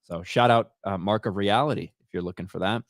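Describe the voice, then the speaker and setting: A man's voice: fairly low pitch, fairly quick, dry recording. Dry-miked man hosting a live chat. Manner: reads viewer super chats aloud, swears freely. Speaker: show host. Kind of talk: casual live-stream chat.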